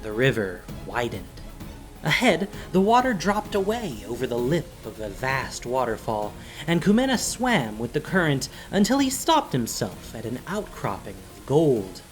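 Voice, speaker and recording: A man narrating a fantasy story as scripted audio drama, in a dramatic, deliberate storytelling manner. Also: mid-range voice, huge pitch swings. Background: music.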